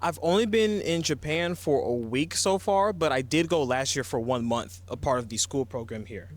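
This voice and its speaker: A man from central Louisiana answering interview questions in a relaxed, plain way. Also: on the high side, moderate pace. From central Louisiana, USA. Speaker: interview guest.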